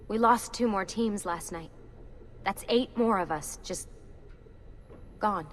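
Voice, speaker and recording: A woman's voice: mid-range voice, slow, close to an everyday voice. An actress playing a worried, weary young woman leading a secret team in a video game, speaking earnestly and with emotional strain. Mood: anxious, despairing. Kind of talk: naturalistic video game acting. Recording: clearly noisy ambient background.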